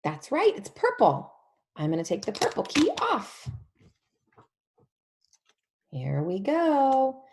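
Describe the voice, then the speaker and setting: A woman leading an interactive storybook read-along for young children, animated and emphatic, using simple repeated phrases and questions. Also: a little high, slow pace, long pauses. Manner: animated, emphatic, often drops to a whisper.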